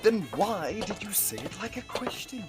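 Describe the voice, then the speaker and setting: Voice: mid-range voice, very animated, moderate pace, loud music behind the voice, lightly acted. A student performer voicing a wisecracking, cheeky talking magical book in a radio play, quipping back with questions. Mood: wry, proud. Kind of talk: student radio theatre acting.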